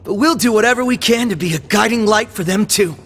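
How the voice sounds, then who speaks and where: A man voicing an earnest, steady young team leader in a video game. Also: sits high, fast, husky, faint music behind, full character voice. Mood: resolute. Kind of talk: English video game voice acting.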